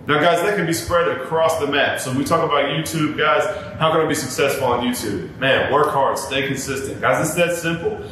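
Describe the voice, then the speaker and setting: A man in his thirties, a barber giving earnest advice straight to camera in a casual, repetitive way, addressing viewers as guys. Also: a bit bright. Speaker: barber and YouTuber. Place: home barber studio, indoors. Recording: very echoey room with faint rumble.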